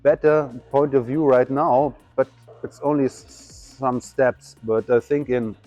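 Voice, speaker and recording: Guy in his fifties musing about art, bit halting, warm low-key voice, some soft music in the back. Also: steady tone, highs cut off. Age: 50s. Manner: reflective, halting, non-native phrasing. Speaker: graffiti artist. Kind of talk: interview answers. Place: at an art show.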